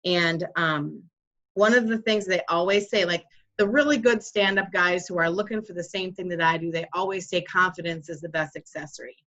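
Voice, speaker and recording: A woman's voice: fairly low pitch, normal speed, a bit bright. Earnest woman host, dull lo-fi sound. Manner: earnest, explanatory. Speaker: podcast host and Reiki practitioner. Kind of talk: conversational wellness talk.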